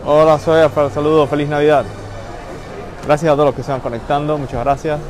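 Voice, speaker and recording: A man's voice: on the high side, little pitch movement, fairly quick. A man hosting a live walking tour through a busy shopping mall, greeting viewers by name as he goes. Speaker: livestream host. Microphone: handheld phone camera. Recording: phone live stream with loud mall noise. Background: crowd and mall ambience.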